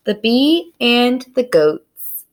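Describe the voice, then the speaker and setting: A woman reading a children's storybook aloud as narrator, with simple, deliberate phrasing. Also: a little high, sing-song.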